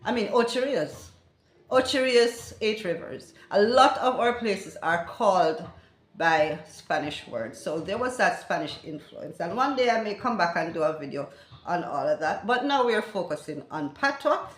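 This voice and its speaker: A woman's voice: mid-range voice, animated, moderate pace. An older Jamaican woman with a Jamaican accent, hosting her own channel and explaining a language in a chatty lesson, often correcting herself and making asides to viewers. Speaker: channel host teaching language. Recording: echoey room.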